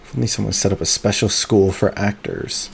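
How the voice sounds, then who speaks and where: A man streaming a video game live, chatting casually and muttering to himself between bursts of excitement. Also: lowish voice, fairly quick, creaky, a little hiss or hum. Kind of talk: live gameplay commentary.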